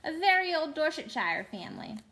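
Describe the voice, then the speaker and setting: Storybook cowardly old gentleman, played by a woman: very high, trembling, flustered, self-pitying wail. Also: very animated, brisk, full character voice, poor audio. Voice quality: trembling, wailing voice. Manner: melodramatic, pleading.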